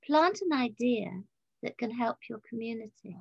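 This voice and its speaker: Husky, creaky woman in her seventies. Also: on the high side, normal speed, a little mellow, dry recording. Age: 70s. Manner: chatty storyteller, quotes people as she goes. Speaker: interview guest, leader of a community organisation. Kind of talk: casual anecdotal interview talk. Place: remote video call. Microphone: video call. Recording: dull, lo-fi video-call audio.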